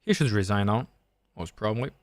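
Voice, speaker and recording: Low-voiced Serbian man explaining chess. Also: lively intonation, fairly quick, dry recording, studio quality. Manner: thinks aloud, explains step by step. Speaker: chess streamer and coach. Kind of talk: live stream chess commentary and teaching.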